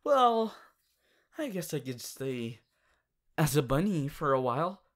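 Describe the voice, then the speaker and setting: Young male rabbit hero, acted by a voice actor, playful, anxious, hesitant, slow, lively pitch, audio roleplay.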